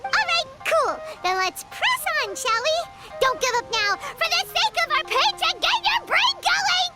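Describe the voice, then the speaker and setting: An actress voicing a tiny, excitable and greedy female fairy companion in a video game, with a squeaky, childlike voice and a bouncy, exclaiming manner. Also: over loud music, full character voice. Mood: eager. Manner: bouncy, exclaiming, quick to react.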